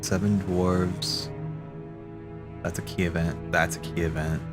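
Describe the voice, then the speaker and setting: A man streaming casual, rambling theory commentary, thinking aloud haltingly, correcting himself and swearing casually. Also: low-pitched, level tone, over loud music.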